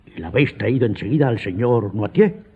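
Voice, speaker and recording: An actor playing a grave, commanding doctor in a radio drama, questioning sternly in a raspy, breathy voice. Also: male voice, lowish voice, expressive, brisk. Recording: dull lo-fi sound.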